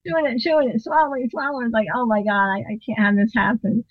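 A woman guest spins an animated story, acting out the dialogue and laughing along. Her voice sits fairly high. The sound is dry and a little dull, as if coming over a remote line. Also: fast talker, no room sound. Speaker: interview guest, drummer and singer. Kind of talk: storytelling in a casual interview.

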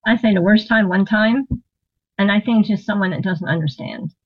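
A woman telling a long story in a casual interview, animated, acting out what people said and laughing often. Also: medium pitch, normal speed, husky, no echo, dull audio. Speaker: interview guest, drummer and singer.

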